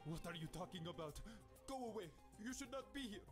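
A man's voice: high voice, lively intonation, a little fast, over music. An actor playing a haughty male program persona. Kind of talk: video game voice acting.

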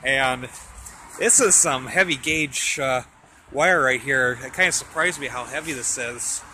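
A man's voice: medium pitch, measured pace, bright, forceful tone. A man giving a casual, off-the-cuff walkaround narration for a home video, thinking aloud hesitantly as he films outdoors on a handheld camera. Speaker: amateur video host showing his radio antennas. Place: outdoors in a snowy residential yard. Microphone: handheld camera or phone held by the speaker. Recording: noisy outdoor recording with traffic.

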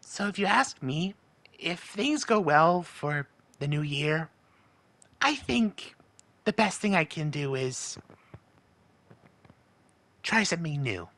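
A man's voice: a little high, expressive, slow, pauses often, a bit bright, no echo, full character voice. A man voicing a nervous, lovestruck cartoon imp husband who rambles in an anxious, hesitant way. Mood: nervous, tender. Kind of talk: in-character fan monologue in cartoon style.

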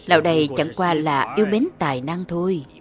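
A woman voicing an arrogant, menacing old male demon master in an animated fantasy dub, with haughty, deliberate threats. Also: sits low, voice pushed down, natural voice. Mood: haughty scorn.

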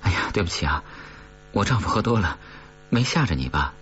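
Male narrator as audiobook wife: colloquial, coaxing and chiding, low register, muffled sound. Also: very animated, a little fast, fairly bright tone. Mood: fussing. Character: young wife, coaxing and mildly scolding. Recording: dull recording with mains hum.

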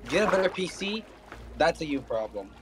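Animated rambling young man. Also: a little high, a little fast, music in the background. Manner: rambling, repeats himself, animated. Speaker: fellow player. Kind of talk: casual gaming chat.

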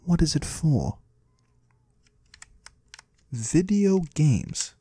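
A man playing a mysterious, courteous disembodied trader of the void in a soft-spoken ASMR roleplay, husky and breathy, slow and gently curious. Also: a little high, sing-song, slight background noise, heavily acted. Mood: curious, pleased. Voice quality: husky, breathy, sometimes whispering. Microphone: close, dry microphone.

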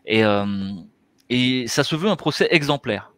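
A man's voice: mid-range voice, expressive, a little slow, fairly bright tone, no room sound. Earnest man on a headset video call. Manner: earnest, gestures with his hands while explaining. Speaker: interview guest, anesthesiologist and author. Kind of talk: explanatory conversational interview talk. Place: small indoor room. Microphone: headset mic on a video call.

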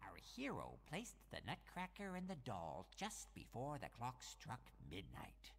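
A woman's voice: extremely low pitch, wildly swooping pitch, unhurried, full character voice. A woman playing a storyteller who narrates a Christmas fairy tale in a scripted storybook style. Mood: solemn, wondrous. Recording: long hall reverb.

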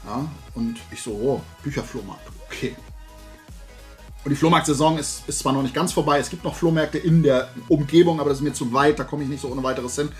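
Casting: middle-aged male vlogger, fairly high voice, rambling enthusiast, music underneath. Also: a little fast. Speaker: YouTube host and collector. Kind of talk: casual haul vlog chat. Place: indoor room full of shelved toys.

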